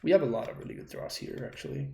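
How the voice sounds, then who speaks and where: A man streams a card game and thinks aloud as he works out each play. His voice sits at a middle pitch. The room around him sounds echoey. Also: huge pitch swings, racing, creaky, warm tone. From Argentina. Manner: thinking aloud, working through plays. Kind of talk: live card game commentary. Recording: roomy echo.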